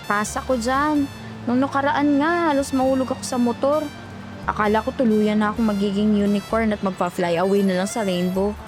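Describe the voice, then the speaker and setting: A woman's voice: medium pitch, fairly even intonation, brisk, over music, close to an everyday voice. A woman acting as an exhausted young call center agent, grumbling and cautious, in a horror story. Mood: worried, wry. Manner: weary, chatty, grumbling.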